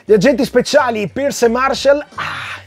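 A man's voice: very high-pitched, normal speed. A man in his forties presenting a comic review in a chatty, conversational way, addressing viewers directly. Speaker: comic book reviewer, video host. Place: small room with shelves of comics, indoors. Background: background music.

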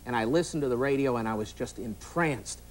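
A man's voice: medium pitch, brisk, poor audio. A man in his fifties, a radio host guesting on a TV talk show, talking in a dry, self-mocking joking way into a lapel mic in a studio. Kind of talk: casual TV talk show chat. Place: TV studio set with audience.

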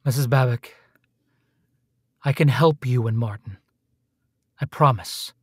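Dogged detective, dry close sound. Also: male voice, medium pitch, a little slow, a little mellow, slightly rough, no echo. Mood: earnest, grave. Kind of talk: dramatic radio play acting.

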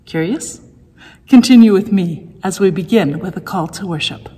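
Calm, husky woman leading worship. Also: mid-range voice, long reverb tail. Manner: calm, measured liturgical reading. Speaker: worship leader. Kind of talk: leading a church service. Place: home room, indoors.

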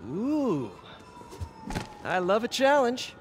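A man's voice: very high voice, big pitch swings, drawn-out words, exaggerated voice. An actor playing a wisecracking young male superhero who teases and jokes. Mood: teasing. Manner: joking, teasing. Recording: game audio with clear ambient noise.

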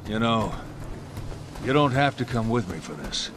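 Video game lead: weary American father, protective and grave, low register, unhurried delivery. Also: sing-song, noisy background. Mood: grim, determined. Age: middle-aged. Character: weary, protective, guilt-ridden middle-aged father. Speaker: game character voice actor. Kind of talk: dramatic video game acting.

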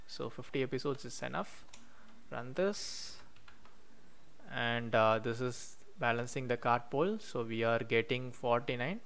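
A man's voice: medium pitch, a bit bright, smooth. Man explaining code while typing. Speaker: coding tutorial host. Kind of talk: screen-recorded coding walkthrough. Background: keyboard typing.

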